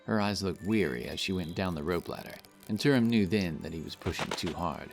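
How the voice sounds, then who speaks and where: Low voiced guy narrating a fantasy story, scripted, little bit of music under him. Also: a little fast.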